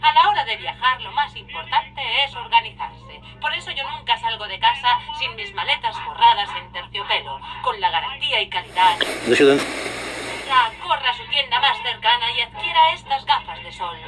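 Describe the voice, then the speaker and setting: A woman's voice: a little high, animated, moderate pace, far from the mic, over music, low-quality recording. A woman playing a famous aviator reading 1920s radio advertisements through an old broadcast filter. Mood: promotional.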